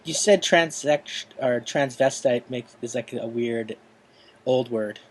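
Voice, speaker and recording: A man's voice: medium pitch, slow, poor audio. A man in his late thirties hosting a podcast interview, asking questions in a joking, rambling way. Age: late 30s. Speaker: podcast host. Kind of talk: casual interview chat.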